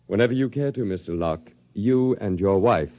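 An actor playing a courteous, superior alien official in a 1950s radio drama, speaking formally and precisely in measured phrases. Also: male voice, fairly low pitch, close to an everyday voice. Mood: gracious. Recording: muffled old radio recording, music behind.

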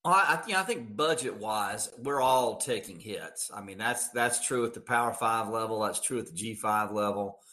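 A man's voice: lowish voice, normal speed, few pauses, bright, forceful tone. An American man, a radio sports announcer, talking conversationally in an interview with emphatic, folksy phrasing.

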